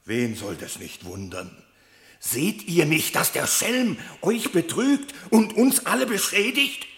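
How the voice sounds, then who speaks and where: A bitter wolf denouncing a rival at court in a classic radio drama. His high voice swings widely, drawn out and gnashing, with long reverb around it. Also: lively intonation, slow, fairly bright tone, exaggerated voice. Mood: outraged. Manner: indignant, gnashing declamation. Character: embittered, challenging male wolf accusing a rival. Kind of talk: classic German radio drama verse acting. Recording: echoey hall with long reverb.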